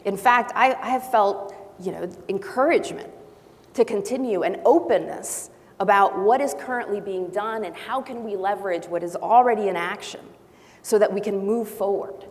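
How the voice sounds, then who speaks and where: A woman from Brazil and Honduras whose first language is not English, speaking as a panelist with thoughtful pauses and restarts. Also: mid-range voice. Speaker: panelist, faculty member. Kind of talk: panel discussion. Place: large auditorium. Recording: long hall reverb, faint noise.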